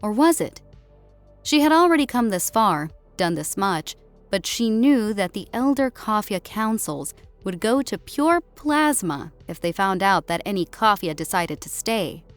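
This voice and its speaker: A woman's voice: medium pitch, animated, unhurried, faint music behind. A woman narrating a scripted science fiction story as an audiobook.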